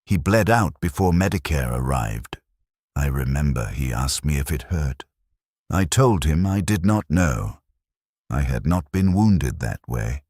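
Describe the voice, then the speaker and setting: A man narrating a scripted first-person war story in short, measured sentences with a detached, solemn delivery. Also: rumbling low, expressive, darkish tone, no room sound, clean studio sound.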